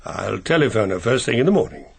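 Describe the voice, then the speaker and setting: An actor in a radio drama playing a mild, discreet English gentleman with an RP accent, polite and slightly hesitant. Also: lowish voice, sing-song, fast talker, creaky, slightly rough, exaggerated voice. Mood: earnest.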